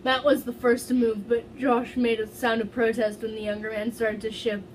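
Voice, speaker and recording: A woman with a fairly high voice reads aloud in an amateur dramatic style. She is giggly and trips over words, dropping into casual asides. There is faint noise behind her. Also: level tone, moderate pace, poor audio. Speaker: fan fiction reader.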